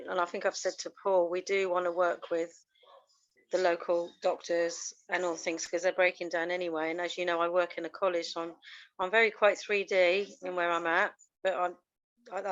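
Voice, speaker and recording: A woman's voice: lowish voice, little pitch movement, normal speed, a bit bright, lo-fi sound. A woman with a cold joining a video call, congested, giggly and enthusiastic.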